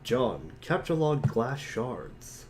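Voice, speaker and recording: A man's voice: mid-range voice, sing-song, extremely slow. A man co-reading an amateur webcomic dramatic reading, giving commands and character lines. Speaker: co-reader.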